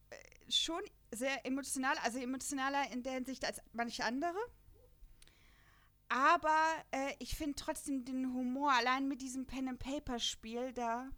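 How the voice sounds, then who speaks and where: A woman's voice: high-pitched, pushed and bright. A young woman co-hosting a casual fan podcast, chatty and laughing often between filler words. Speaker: podcast co-host.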